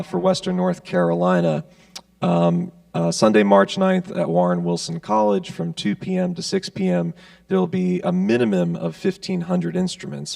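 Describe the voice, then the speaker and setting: A man's voice: sits high, creaky, a little mellow, no echo. A man making a casual community announcement at a church service, with lots of "uh" and "um". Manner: casual, filler-heavy delivery. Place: church sanctuary. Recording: voice picked up from a distance, dry.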